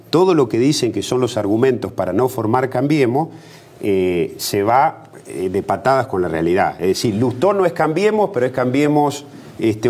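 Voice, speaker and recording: Argentine politician guest, middle-aged man, animated pitch, slightly husky, argues with rhetorical questions. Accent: Argentine Spanish. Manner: emphatic, rhetorical questions, frequent restarts and fillers. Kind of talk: TV interview.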